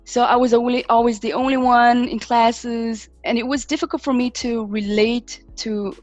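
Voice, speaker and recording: A woman's voice: on the high side, fairly even intonation, normal speed, dry recording, highs cut off, soft background music. A woman in her thirties from Switzerland, chatting reflectively as a singer being interviewed. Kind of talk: casual interview chat.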